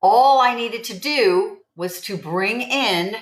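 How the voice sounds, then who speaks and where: A woman's voice: mid-range voice, slow pace. Chatty woman in her seventies, roomy sound. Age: 70s. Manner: chatty, rambling, repeats key phrases. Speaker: livestream host promoting online income. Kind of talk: casual livestream talk to viewers.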